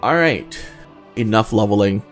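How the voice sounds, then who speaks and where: A man's voice: on the low side, animated, measured pace, faint music behind. A man giving casual playthrough commentary as a gaming host, thinking aloud and swearing casually as he goes.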